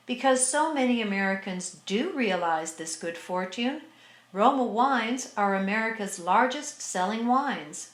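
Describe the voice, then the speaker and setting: A woman playing a grand sponsor announcer, reading an old-time radio advertisement in a measured way, in a roomy recording. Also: mid-range voice, fairly bright tone. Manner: measured, deliberate salesmanship. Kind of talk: 1940s radio advertisement read.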